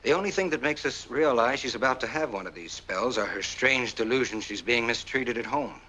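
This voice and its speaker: A self-assured war veteran in a 1940s noir film. His voice is bright and forward at a middle pitch. He speaks in measured, courteous sentences with cool control, on an old film soundtrack. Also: lively intonation, low-quality recording. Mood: controlled, persuasive. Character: smooth, self-assured war-veteran husband, calmly explaining.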